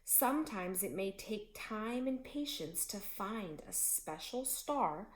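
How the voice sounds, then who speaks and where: A woman reading a children's picture book aloud as a teacher, in a slow and expressive storytelling manner. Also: medium pitch, echoey room, a little hiss or hum.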